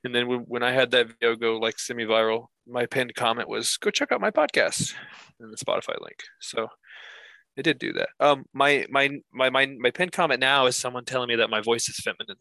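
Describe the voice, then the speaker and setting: A man's voice: medium pitch, fairly quick, pushed and bright, no echo. Rambling self-mocking young man. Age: 20s. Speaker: podcast co-host. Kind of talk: casual chat.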